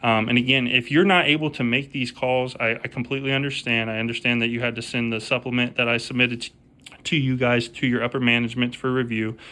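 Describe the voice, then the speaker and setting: A man's voice: medium pitch, little pitch movement, fast, pushed and bright. A man speaking on a phone call as a contractor, arguing his case in an insistent, repetitive way. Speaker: roofing contractor. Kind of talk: phone negotiation.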